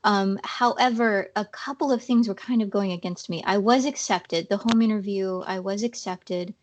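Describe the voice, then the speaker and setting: A woman's voice: mid-range voice, normal speed. A woman guest on a podcast interview, explaining things casually with a slightly scratchy voice. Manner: explanatory, uses fillers.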